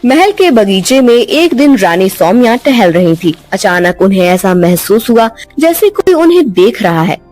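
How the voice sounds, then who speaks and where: A woman narrates a dubbed cartoon story in scripted, steady storytelling. Her voice sits at a middle pitch. Faint music runs under her words. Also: a little slow, hardly any pauses.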